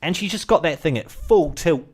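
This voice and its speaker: A man's voice: a little high, animated, moderate pace, slight background noise. A young man with a New Zealand accent, rambling through a casual monologue with lots of fillers and asides. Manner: chatty, full of fillers and asides. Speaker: vlogger.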